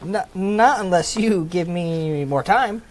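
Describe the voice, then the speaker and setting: A woman's voice: lowish voice, slow, darkish tone. A woman playing a timid, poor male debtor who stammers and pleads. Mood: pleading.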